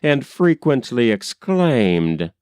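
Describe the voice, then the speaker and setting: A man reading a historical memoir aloud as an audiobook narrator, in a measured, formal storytelling manner. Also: medium pitch, sing-song, darkish tone, clear, resonant tone, no room sound, clean studio sound. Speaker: audiobook reader.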